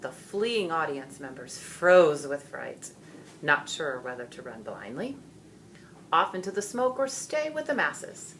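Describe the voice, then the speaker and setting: A woman with a low, bright voice narrates a children's book theatrically. She reads slowly and expressively, and there is faint noise behind her. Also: big pitch swings. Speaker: storyteller reading a children's book aloud. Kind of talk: dramatic scripted narration.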